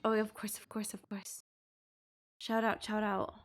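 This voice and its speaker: A woman's voice: mid-range voice, flat delivery, unhurried. A bubbly, gushing woman livestreamer chatting casually and reacting to a game.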